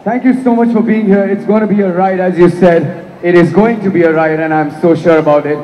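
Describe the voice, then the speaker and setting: A man's voice: sits high, steady tone, brisk, dark tone, slight background noise. A man with an Indian accent hosting a live event in a hyped style, switching between English and Hindi. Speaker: event host. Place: large hall at a college promotional event. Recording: echoey hall PA with long reverb.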